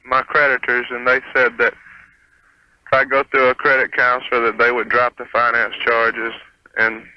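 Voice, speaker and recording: A man's voice: medium pitch, completely flat delivery, moderate pace, no room sound. Sheepish young man on radio call-in. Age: 20s. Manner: hesitant, sheepish. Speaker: caller. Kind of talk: casual phone conversation. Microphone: telephone. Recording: dull lo-fi phone call on radio.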